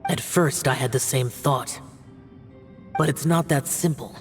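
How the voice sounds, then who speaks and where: Man as stoic youthful game warrior spirit, mid-pitched, solemn, terse, self-sacrificing, faint music behind. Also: unhurried, close to an everyday voice. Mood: grave, cautious. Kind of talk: video game voice acting.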